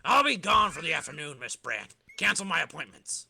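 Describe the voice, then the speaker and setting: A curt older newspaper chief, acted by a man in a comic-book reading. He is brisk but civil, with a very sharp tone and a slightly high voice. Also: very animated, creaky, husky, no echo, full character voice.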